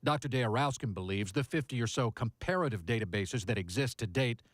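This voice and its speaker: Lively American male news reporter. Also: medium pitch, a little fast, slight background noise. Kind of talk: scripted news narration.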